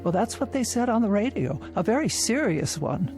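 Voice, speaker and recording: An anxious, formal lady of the house played by an actress in a radio drama. She is firm and insistent, medium in pitch, with light music behind her. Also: big pitch swings, moderate pace, darkish tone, close to an everyday voice. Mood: insistent, uneasy.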